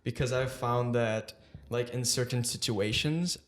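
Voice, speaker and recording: A man's voice: medium pitch, measured pace. Hesitant young man hosting a podcast. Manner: hesitant, many "like" fillers and restarts. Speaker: podcast host. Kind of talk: casual podcast interview.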